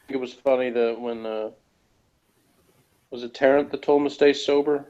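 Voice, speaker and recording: Guy chatting about a show on a muffled lo-fi call, kinda tentative, rambling along, middling pitch. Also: fairly even intonation, measured pace, dark tone. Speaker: co-host or guest reviewer. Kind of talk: casual review chat. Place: online call. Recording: dull lo-fi online call.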